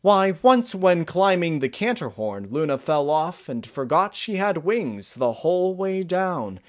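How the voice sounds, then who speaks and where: A poised royal pony mare performed by a man for a storybook. The voice is lifted high and speaks formally, with a genteel, fond elder-sister manner. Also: very animated, measured pace. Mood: fond, amused.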